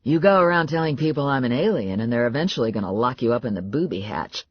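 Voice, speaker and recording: A self-assured, easygoing man, played by a woman narrator, dropped low with a husky edge. The lines come out dry and wry, in a comic audiobook. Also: lively intonation, quick pace, dry recording, muffled recording. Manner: dry, casual, low-key. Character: laid-back, wry, self-assured man with mysterious powers.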